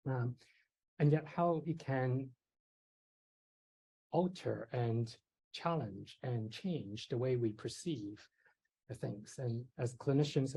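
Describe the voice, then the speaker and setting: A man's voice: mid-range voice, dark tone, husky, low-quality recording. A middle-aged man from Hong Kong giving a psychiatry lecture, telling personal stories in a conversational way with many fillers and light self-deprecating laughs. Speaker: psychiatry professor. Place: lecture hall.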